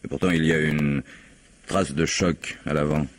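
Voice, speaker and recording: An actor playing an insistent, skeptical police investigator in a radio mystery, probing methodically with formal politeness. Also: male voice, a bass voice, steady tone, moderate pace, no room sound. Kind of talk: French radio mystery drama acting.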